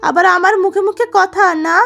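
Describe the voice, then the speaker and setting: A woman's voice: very high-pitched, fairly even intonation, a little fast, creaky, a bit bright, exaggerated voice. A woman voicing a spoiled, spiteful young second wife in a cartoon story, haughty, scolding and whining. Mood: haughty, scornful. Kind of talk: melodramatic cartoon story acting.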